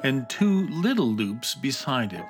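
A man's voice: medium pitch, sing-song, a little slow, soft background music. A man narrating a scripted children's fantasy adventure story in storybook style.

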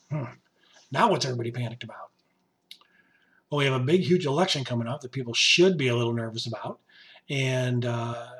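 A man hosting his podcast in casual monologue, his voice hoarse and raspy, rambling and joking as he talks to his musician. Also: mid-range voice. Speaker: podcast host. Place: basement home studio.

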